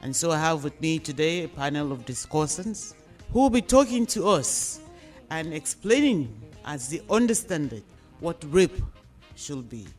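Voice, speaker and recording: A woman with a Nigerian accent hosting a radio discussion programme, phrasing her questions and points deliberately and emphatically. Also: low voice, sing-song, soft background music.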